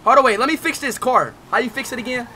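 A young man streaming a roleplay game, brash and excitable, swearing and calling everyone bro, often bursting into shouts. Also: very high-pitched, fast talker. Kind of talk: loud casual livestream chat. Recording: noisy stream audio with game sounds behind.

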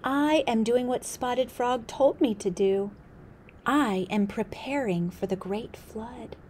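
A patient otter speaks calmly and sincerely, slightly lifted from the reader's tone, as a woman puts him on. Storybook reading, unhurried, faint hiss behind. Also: on the high side, voice pushed up. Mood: steady. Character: gentle male river otter, patient listener.

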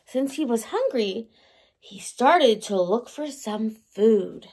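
A woman in her thirties, a teacher reading a picture book aloud to young children in an animated way, with questions for them. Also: on the high side, takes it slowly, husky. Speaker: early learning teacher. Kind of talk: children's story time read-aloud. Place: indoors by a large window in a room at home.

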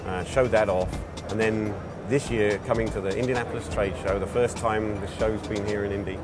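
A middle-aged man, a racing series founder, answering interview questions at a trade show in an animated, self-deprecating way. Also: lowish voice, a little fast. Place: busy indoor trade show hall. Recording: music bed under voice.

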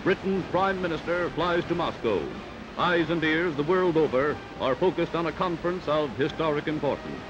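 A man's voice: on the high side, normal speed. An American man reading a scripted 1940s newsreel in a dramatic, emphatic delivery. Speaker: newsreel narrator. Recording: dull old 1940s film soundtrack, loud music.

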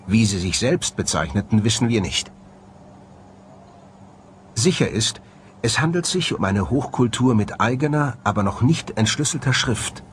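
A man's voice: on the low side, a little hiss or hum. A man presenting a documentary with scripted narration. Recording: music bed under the voice. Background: background music.

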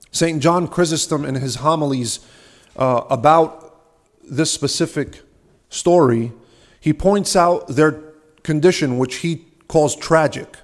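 A young man with a slightly high voice preaches from a lectern in a large, ornate church. His delivery is earnest and measured, with rhetorical questions along the way. He speaks into a lectern microphone. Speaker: subdeacon preaching. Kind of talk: sermon.

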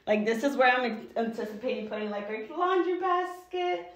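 House-tour vlogger, woman from New York, higher voice, rambling and chatty, mic a bit distant in very echoey bare rooms. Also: expressive, normal speed. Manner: chatty, rambling with many ums and likes. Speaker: vlogger giving a home tour. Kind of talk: casual walking house tour. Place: indoors, sparsely furnished new house.

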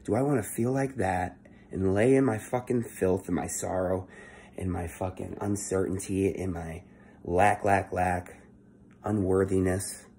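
A young man giving a casual motivational monologue into his phone at home, keeping his voice low and swearing freely. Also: steady tone, a little slow, dark tone, slight background noise. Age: young adult. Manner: talking low and swearing often, earnest. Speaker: vlogger. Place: indoor room at home. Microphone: phone held close at arm's length.

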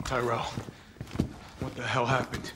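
An American actor playing a protective, determined young soldier in a game, talking in terse, urgent phrases. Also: male voice, mid-range voice, completely flat delivery, unhurried, background noise, close to an everyday voice. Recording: loud music behind the voice. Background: loud cinematic music.

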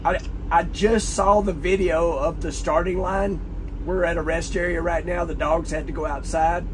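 A man, vlogging on his phone with a hoarse, worn-out voice from screaming, excitable and laughing. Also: fairly high pitch, moderate pace, noisy background. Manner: excitable, laughing, lets out loud woos. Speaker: drag racing driver and vlogger. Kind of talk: casual vlog to camera. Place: inside a trailer or motorhome. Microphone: phone camera at arm's length.

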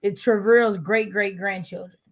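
A woman's voice: medium pitch, steady tone, moderate pace, highs cut off, a crowd in the background. A young American woman in a casual book discussion, speaking emphatically and with opinion, repeating her points for effect. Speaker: readalong co-host. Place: room at home, video call.

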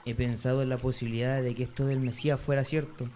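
A man's voice: medium pitch, level tone, fast, warm tone, slight background noise, close to an everyday voice. A man acting as a zealous, dutiful young Pharisee, speaking earnestly and resolutely in an amateur radio drama. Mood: troubled, resolute.

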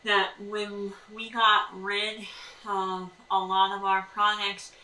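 Bright-voiced American woman in an echoey room. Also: mid-range voice, little pitch movement, slow pace. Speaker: homesteading vlog co-host. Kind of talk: explanatory chat to camera with tips. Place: indoors at a table in a small sunlit room by windows. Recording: echoey room, distant mic, faint noise.

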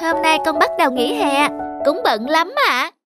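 A woman's voice: high-pitched, expressive, normal speed, over loud music. A woman voicing a cheerful, eager little daughter in a children's cartoon dub, chirpy and playful.

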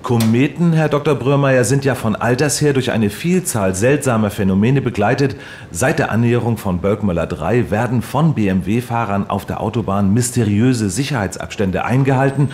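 An actor playing an earnest radio reporter, formal and florid, in a parody of live reportage. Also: male voice, medium pitch, moderate pace, darkish tone, slight background noise, natural voice. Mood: solemnly intrigued. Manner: formal, florid, straight-faced. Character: earnest, overblown male radio reporter on location.

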